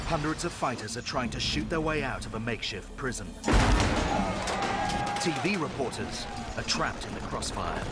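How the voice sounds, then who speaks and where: A man reading dramatic documentary narration in a grave, measured, suspenseful way. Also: medium pitch, lively intonation. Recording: voice over loud music and gunfire. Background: loud dramatic music, battle sounds.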